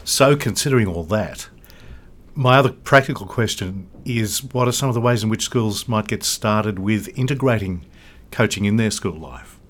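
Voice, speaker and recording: A man's voice: on the low side, expressive, moderate pace, fairly bright tone. A man hosting a podcast, asking polite questions and reading a tidy sign-off. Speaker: podcast host. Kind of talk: podcast interview and outro.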